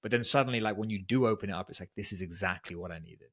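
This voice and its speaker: A man hosting a podcast, asking loose, rambling questions in a relaxed way and using "like" a lot. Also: lowish voice, rapid-fire. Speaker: podcast host. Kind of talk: casual interview conversation.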